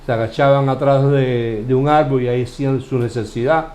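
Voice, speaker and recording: A Cuban man leads a Bible study in a conversational, questioning way. He explains at an unhurried pace and often restarts his phrases while he thinks. His voice sits at an ordinary middle pitch for a man. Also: fairly even intonation, a little mellow. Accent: Cuban Spanish. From Cuba. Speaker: Bible teacher.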